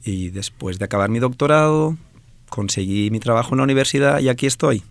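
Gravelly-voiced Spanish man from Alicante. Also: medium pitch, fairly quick, no room sound. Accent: Spanish from Spain. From Spain, Alicante. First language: Valencian/Catalan. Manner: thoughtful, many hesitations and tag questions. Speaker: Spanish professor, guest. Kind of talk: interview answers.

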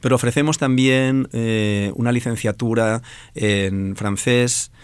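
A man's voice: mid-range voice, fairly even intonation, unhurried, clean tone, no echo. A man in his forties from Alicante with a Spanish accent from Spain, a Valencian native speaker answering interview questions as a professor, thoughtful and full of hesitations. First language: Valencian/Catalan.

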